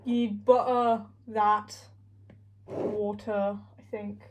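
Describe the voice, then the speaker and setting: A woman teasing her friend with quick wisecracks while baking in a home kitchen. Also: mid-range voice, level tone, slight background noise. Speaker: co-host baking for a video. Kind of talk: casual chat.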